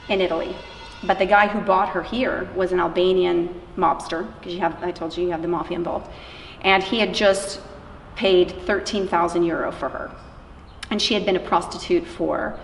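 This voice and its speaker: A woman being interviewed, telling real accounts in an informal, conversational way and voicing bits of dialogue as she goes. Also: lowish voice. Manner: informal, acts out quoted dialogue. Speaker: interviewee, anti-trafficking worker. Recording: echoey room from far off, faint noise.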